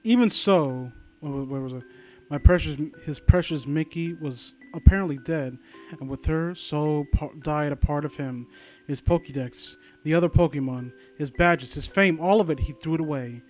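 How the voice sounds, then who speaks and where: Guy with a slightly high voice reading a story n snarking at it, kinda exasperated, swears, soft game music behind. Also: moderate pace. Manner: sarcastic, exasperated, casually profane. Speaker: host reading and critiquing an online horror story. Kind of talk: reading a story aloud with off-the-cuff mocking commentary. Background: glockenspiel-like video game music.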